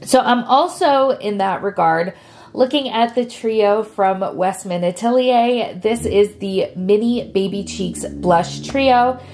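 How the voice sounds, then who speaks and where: A woman's voice: medium pitch, takes it slowly, darkish tone, a little hiss or hum. A woman, sick and sniffly, chatting casually to camera as a beauty vlogger. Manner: chatty and friendly, with frequent fillers.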